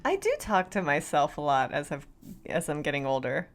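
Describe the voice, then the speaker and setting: A woman's voice: low-pitched, lively intonation, moderate pace. A woman co-host chatting informally and playfully, reacting to what she is told. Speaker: show co-host. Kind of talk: casual reactive chat.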